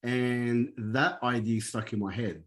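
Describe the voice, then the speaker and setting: A man's voice: medium pitch, steady tone, takes it slowly. A man born in Lebanon who speaks Arabic natively, earnestly telling his life story as a podcast guest, with many ums and restarts. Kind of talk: candid personal storytelling.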